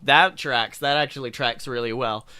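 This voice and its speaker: A man co-hosting a podcast, casually bantering and swearing, with jokey, animated reactions. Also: mid-range voice, measured pace, clear, resonant tone, dry recording. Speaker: podcast co-host. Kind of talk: casual banter and mocking fan fiction read-aloud.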